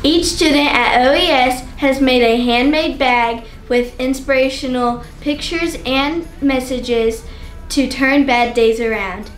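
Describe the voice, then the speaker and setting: A woman's voice: high voice, takes it slowly, echoey room, distant mic, faint music behind. A woman narrating a school promotional video in an upbeat announcing manner.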